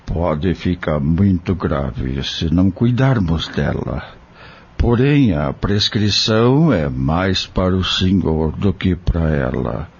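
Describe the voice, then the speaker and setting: A kindly Brazilian doctor played by a man in an audio drama, counselling patiently. His voice is low and unhurried, with lively swings in intonation as he gently explains. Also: breathy edge, heavily acted. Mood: grave, explanatory. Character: kindly male doctor, patient, caring spiritist. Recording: dull lo-fi audio.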